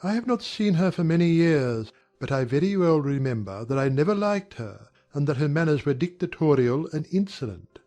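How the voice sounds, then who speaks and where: A charming young gentleman, acted by a man speaks in a fairly high male voice over music. He is confiding and quietly resentful, as in a period audiobook drama. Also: big pitch swings, normal speed, few pauses. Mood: disdainful.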